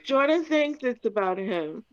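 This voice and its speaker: A woman's voice: on the high side, very animated, measured pace, dull audio, a little hiss or hum. A woman chatting casually beside the streamer and telling him about a dream. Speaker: streamer's companion. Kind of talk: casual chat.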